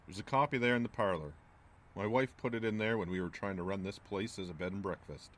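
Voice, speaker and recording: An actor playing a gruff, wary small-town landlord in an audio drama, speaking in a curt, folksy, ominous way. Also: male voice, lowish voice, fast, close to an everyday voice. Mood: grim, wry.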